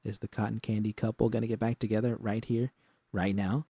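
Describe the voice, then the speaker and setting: A man's voice: lowish voice, little pitch movement, fairly quick, dark tone, husky, dry recording, studio quality. A man voicing a wry, tough, teasing woman bartender with a slangy, frank manner in a story.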